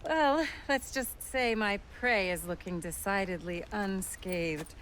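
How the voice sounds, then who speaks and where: An actress playing an educated, earnest, self-deprecating genteel young widow in a video game, speaking in a refined, articulate way. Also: female voice, mid-range voice, takes it slowly, background noise, close to an everyday voice. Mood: bright, determined. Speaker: voice actor. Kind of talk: cinematic video game acting.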